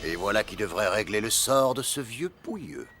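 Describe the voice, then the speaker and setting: A man's voice: lowish voice, big pitch swings, normal speed. A man playing an imperious, vindictive rich baron in a French cartoon, with pompous, formal speech. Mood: smug. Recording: loud music over the voice.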